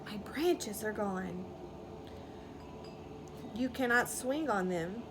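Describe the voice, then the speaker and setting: A woman voicing a gentle, halting old storybook tree. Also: medium pitch, expressive, noisy background. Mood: sorrowful. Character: gentle, giving old female tree, sad but loving.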